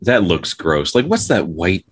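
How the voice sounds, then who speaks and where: Low-voiced man in casual chat. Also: sing-song, a little slow, slightly rough, dry recording. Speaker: podcast co-host.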